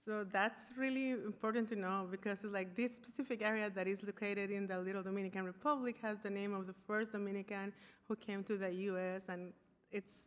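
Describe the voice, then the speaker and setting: Woman speaker from Costa Rica, conference presentation, halting and searching for words, long hall reverb. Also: mid-range voice, fairly even intonation, smooth. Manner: searching for words, frequent restarts and fillers. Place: conference room.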